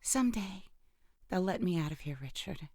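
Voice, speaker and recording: An actress playing a bitter, wounded imprisoned wife in an audio drama monologue, speaking in a halting, intimate, emotionally charged way with a creaky voice. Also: female voice, fairly low pitch, expressive, dark tone, dry recording, studio quality. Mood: bitter, menacing. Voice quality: gravelly, creaky. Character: bitter, wounded imprisoned wife who killed her abusive husband.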